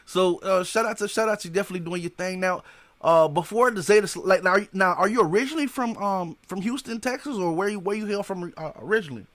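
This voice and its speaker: A man's voice: high voice, quick pace, fairly bright tone, dry recording. Slangy man hosting a podcast. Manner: laid-back slangy talk, many "you know what I'm saying" fillers. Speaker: podcast host. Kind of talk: casual interview.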